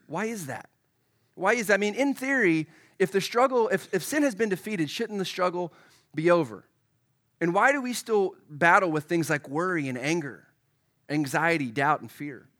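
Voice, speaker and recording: A male pastor delivers a live sermon with a touch of froggy hoarseness from a head cold. His voice sits a little higher than most men's, and he keeps it conversational, turning to the congregation with questions as he goes. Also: expressive, normal speed, a bit bright.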